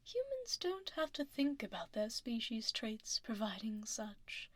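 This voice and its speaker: A woman playing a powerful, composed dragon executive in an ASMR roleplay, speaking softly and breathily up close with a poised, gently commanding manner. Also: on the high side, sing-song, unhurried, dry recording. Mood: composed, teasing. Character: powerful composed female dragon executive boss, teasing, exacting. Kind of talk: soft-spoken ASMR roleplay. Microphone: close binaural microphone. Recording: dry close binaural studio recording.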